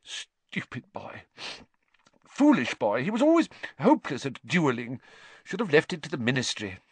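A man voicing a stern, brisk, grieving older female schoolteacher in a storybook. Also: fairly high pitch, wildly swooping pitch, husky, no room sound, voice pushed up, heavily acted. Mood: tearful, scolding.

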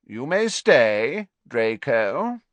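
A man voicing a tipsy, jovial older male professor who gushes and booms his words. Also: sing-song, very slow, no echo. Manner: hearty, gushing, loud exclamations.

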